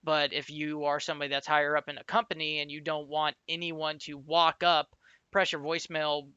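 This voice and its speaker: A man's voice: a little high, flat delivery, brisk, sharp tone, clear, resonant tone, no room sound, highs cut off. A man giving an informal, explanatory step-by-step screencast tutorial in a conversational way, as an instructor.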